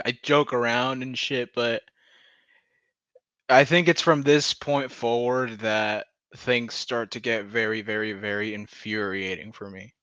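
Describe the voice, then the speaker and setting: A man's voice: mid-range voice, pushed and bright, dry recording, lo-fi sound. A man giving a casual, sweary recap rant as a video commentator, often mocking what he retells. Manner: sweary, laughs through his words; mockingly acts out quoted dialogue. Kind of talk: casual ranting TV episode recap.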